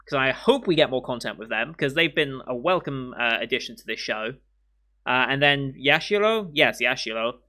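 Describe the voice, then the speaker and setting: A man hosting an anime reaction channel, thinking aloud in casual commentary at home with his voice worn hoarse. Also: a little high, pushed and bright. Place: home room with windows. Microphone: studio mic in frame.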